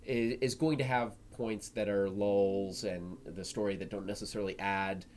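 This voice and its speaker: A man co-hosting a casual fan discussion on a live stream, speaking haltingly and often repeating words as he thinks. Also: on the low side, steady tone, darkish tone, slight background noise. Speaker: co-host of an anime live stream.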